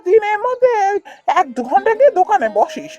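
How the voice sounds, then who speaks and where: A woman's voice: very high-pitched, sing-song, a little fast, a little mellow, lo-fi sound, soft background music. A woman voicing a harsh, domineering, miserly elder brother in a cartoon fairy tale, scolding and sneering in repeated outbursts. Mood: furious, mocking.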